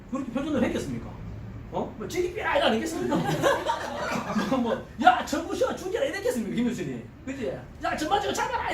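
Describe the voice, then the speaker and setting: A middle-aged man with a thick Gyeongsang accent lectures a seminar crowd, his fairly high voice leaping up and down as he jokes and throws out rhetorical questions. He sounds set back from the mic in an echoing hall, with a faint hum of ambience behind him. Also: distant mic. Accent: Gyeongsang Korean. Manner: animated, joking, quizzes the audience with rhetorical questions. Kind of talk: lively seminar lecture to a live audience.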